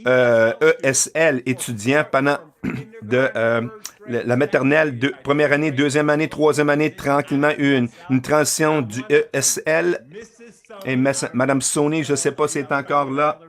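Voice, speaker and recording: A man giving an interpreted keynote speech to parents and educators. He pauses often, with many filler sounds and restarts. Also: medium pitch, steady tone, fairly bright tone. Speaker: keynote speaker.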